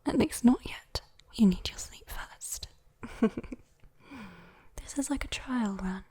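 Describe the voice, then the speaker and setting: A woman's voice: fairly high pitch, dark tone. Woman playing a whispering, teasing British demon girl. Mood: teasing, coaxing. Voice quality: breathy whisper. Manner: slow, intimate, teasing. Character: sweet, teasing, possessive female demon watching over someone. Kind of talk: whispered ASMR roleplay.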